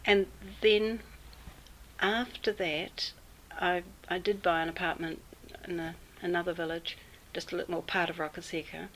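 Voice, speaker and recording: Interviewee: a fairly low-voiced New Zealand woman, relaxed storytelling with fillers, faint noise behind. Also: low-quality recording. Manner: unhurried, with many fillers and pauses. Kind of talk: casual storytelling.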